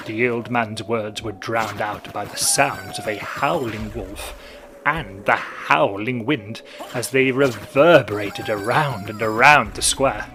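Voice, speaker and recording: A man narrating a story with dramatic, playful spooky storytelling. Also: on the low side.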